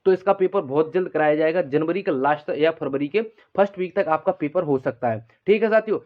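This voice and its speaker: A man speaks quickly in a fairly high voice, hosting an informal update for exam aspirants. He explains without a script, circling back over his points and often tagging sentences with a quick check-in. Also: narrow, tinny audio. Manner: repetitive, explanatory, frequent check-in tags. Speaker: exam-news video host, coaching aspirants.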